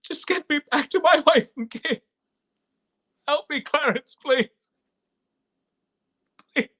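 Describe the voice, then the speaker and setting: Man acting a high, bright-voiced impassioned family man. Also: creaky, heavily acted. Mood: desperate, anguished. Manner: stammering, impassioned, breathless pleading. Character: frantic, despairing then overjoyed family man. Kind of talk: live radio play acting.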